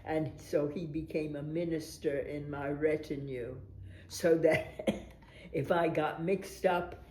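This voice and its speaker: Older American actress, slow-paced animated storyteller with frequent laughs, roomy echoey sound. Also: female voice, deep voice, a little hiss or hum. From United States. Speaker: veteran stage actress. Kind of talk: reminiscing documentary interview.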